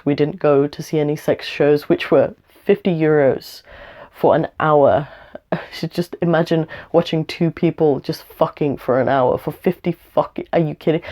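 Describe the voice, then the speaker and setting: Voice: medium pitch, mellow. A young adult vlogger telling a story in a casual, rambling way, with lots of fillers and small laughs, and a voice that is a bit off from having the flu. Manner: rambling, chatty, frequent fillers and little laughs.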